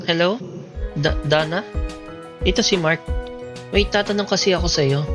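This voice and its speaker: A man's voice: a little high, close to an everyday voice. A man acting as a shy, insecure young student in love in a radio drama, with a Filipino accent. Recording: music playing behind the voice.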